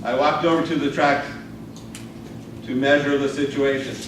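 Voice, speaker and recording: A man giving a theatrical storytelling speech as a contestant, with a dramatic, deliberate delivery full of pauses. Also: mid-range voice, flat delivery. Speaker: speech contestant telling a tall tale. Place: roomy indoor speech contest venue. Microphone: distant camera microphone. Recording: distant, echoey, noisy live room recording. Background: noisy room ambience, audience laughter.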